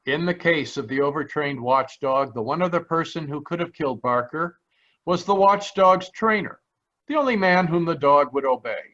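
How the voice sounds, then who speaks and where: A man narrating an old-time radio mystery as the detective himself, in a measured voice a touch higher than most. The sound is slightly dull, as from a home microphone on a video call. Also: a bit bright. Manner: measured first-person storytelling. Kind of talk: 1940s radio drama narration.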